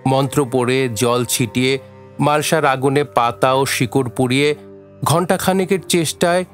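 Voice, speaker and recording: Male narrator for a radio play: medium pitch, measured literary storytelling, faint music underneath. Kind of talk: scripted story narration for audio drama.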